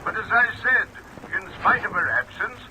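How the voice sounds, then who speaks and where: High-voiced, hesitant, courteous captain. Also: male voice, big pitch swings, normal speed, highs cut off. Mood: polite, evasive. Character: polite, hesitant ship captain worried about his wife. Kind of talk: 1950s radio drama acting. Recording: noisy old radio recording, rain behind.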